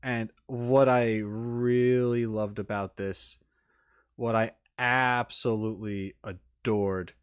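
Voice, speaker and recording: A man's voice: medium pitch, fairly even intonation, slow, smooth. A man giving casual, unscripted reaction commentary as a video host, rambling informally with emphatic enthusiasm.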